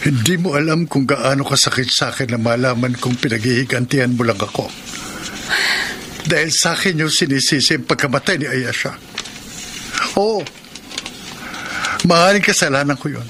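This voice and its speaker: A man's voice: medium pitch, normal speed, long pauses, noisy background, close to an everyday voice. An actor playing a heartbroken young man who feels betrayed, in a radio drama. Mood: hurt. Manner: emotional, wounded, accusing. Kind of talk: broadcast radio drama acting.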